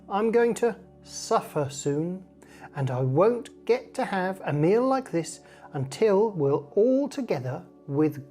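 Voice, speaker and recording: Female storyteller for children's tale. Slow, very expressive, soft music underneath. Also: lowish voice.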